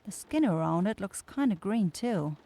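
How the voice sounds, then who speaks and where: A woman's voice: mid-range voice, expressive, moderate pace, dark tone, lightly acted. A woman voicing a caring, easily flustered young forest witch in an ASMR fantasy roleplay, speaking in hesitant, blurting phrases. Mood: concerned, teasing.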